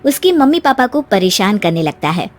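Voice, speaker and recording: A woman's voice: mid-range voice, sing-song, moderate pace. A woman narrator reading a scripted moral story as a voice-over. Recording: voice-over with music. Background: music.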